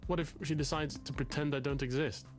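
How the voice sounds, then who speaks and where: An actor playing an anxious, bookish, self-pitying man in a game, talking in a wordy, halting, confessional way. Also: a little high, fairly even intonation, over music, natural voice. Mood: fretful, flustered. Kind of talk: confessional dramatic game dialogue.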